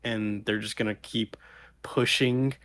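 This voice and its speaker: A man's voice: fairly low pitch, steady tone, unhurried, a bit bright. A man co-hosting a casual podcast chat, talking in loose slang and joking banter. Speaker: podcast co-host.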